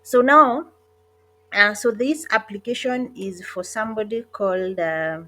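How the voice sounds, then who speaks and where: A woman narrating an online job application walkthrough in a casual, hesitant way, with frequent pauses and self-corrections. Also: medium pitch, bright, forceful tone. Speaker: online job tutorial creator. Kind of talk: casual screen-recorded walkthrough.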